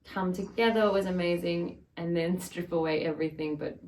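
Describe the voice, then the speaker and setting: A woman's voice: fairly low pitch, normal speed, darkish tone, far from the mic, soft background music, poor audio. A woman talking casually and reflectively.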